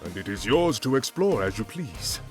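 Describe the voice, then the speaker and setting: A man's voice: on the low side, big pitch swings, moderate pace, faint music behind. An actor playing a dignified, resolute warrior king in a superhero video game, speaking formally and with composure. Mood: gracious.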